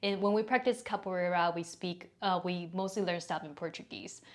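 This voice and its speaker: A woman's voice: lowish voice, moderate pace. A woman hosting a podcast, introducing her guest and asking questions in an enthusiastic, chatty way. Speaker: podcast host. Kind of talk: casual interview hosting.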